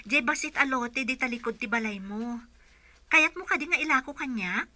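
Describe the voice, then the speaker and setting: A woman's voice: sits high, animated, moderate pace, pushed and bright, no room sound, heavily acted. An actress playing an earnest working mother abroad in a radio drama. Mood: coaxing.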